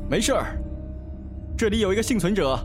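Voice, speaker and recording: A man's voice: high voice, expressive, brisk, soft background music. A man acting a protective, teasing survivor in a zombie apocalypse audio drama. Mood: easygoing.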